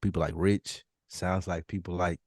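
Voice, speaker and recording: Blunt, low-voiced man on a podcast. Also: level tone, moderate pace, darkish tone, dry recording, clean studio sound. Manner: blunt, slangy, swears freely. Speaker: podcast panelist. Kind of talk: casual group discussion.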